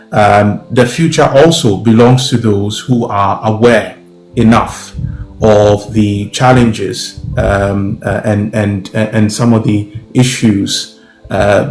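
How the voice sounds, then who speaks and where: A young Ghanaian professor speaking as a guest, careful and measured, with many fillers and restarts. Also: male voice, lowish voice, a little mellow. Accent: Ghanaian English. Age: young adult. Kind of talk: interview answers. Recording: roomy with music behind.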